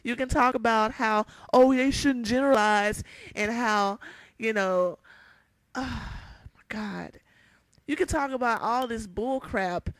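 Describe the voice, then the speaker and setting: A young woman with a raspy edge and a fairly high voice speaks straight into the camera in a heated vlog rant. She hammers her points home and repeats them for emphasis. The sound is dry and close, with no room echo. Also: takes it slowly. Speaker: vlogger. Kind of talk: ranting to camera.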